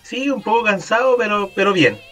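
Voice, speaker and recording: Guy guesting on a podcast, easygoing and friendly, slightly high voice. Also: sing-song, a little fast, a bit bright, soft background music. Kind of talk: casual podcast chat.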